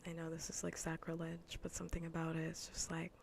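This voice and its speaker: Female ASMR game streamer: very creaky, breathy, whisper-prone delivery, loose repetitive chatter, faint background noise. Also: low voice, monotone, fast talker. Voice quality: breathy, often whispering, gravelly fry. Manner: casual, rambling, repeats phrases. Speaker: livestreamer. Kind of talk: soft ASMR gaming livestream chat. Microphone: close ASMR microphone.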